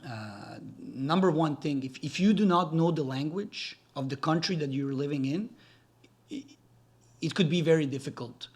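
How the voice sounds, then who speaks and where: Serbian-born man, halting friendly talk. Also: fairly high pitch, lively intonation, slightly rough. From Serbia, raised in Gatineau, Quebec. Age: 40s. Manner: hesitant, many fillers and restarts, friendly. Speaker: podcast guest, real estate developer. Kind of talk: casual interview talk.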